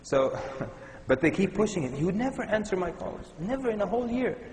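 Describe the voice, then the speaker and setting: Lively storytelling lecturer from Sudan. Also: male voice, high voice, fairly quick. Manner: lively storyteller, mixes in Arabic words. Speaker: Islamic lecturer. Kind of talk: humorous religious lecture. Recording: echoey hall with long reverb.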